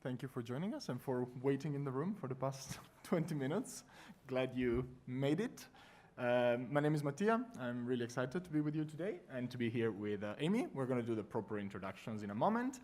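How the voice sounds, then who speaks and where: A man's voice: mid-range voice, brisk. Italian man presenting a workshop. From Italy. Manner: friendly, lively presenting with fillers. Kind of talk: conference workshop talk. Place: conference room with audience.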